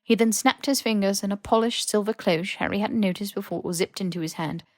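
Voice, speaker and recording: A woman's voice: medium pitch, a little fast, no echo. Dry-recorded woman narrating fiction. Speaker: audiobook narrator.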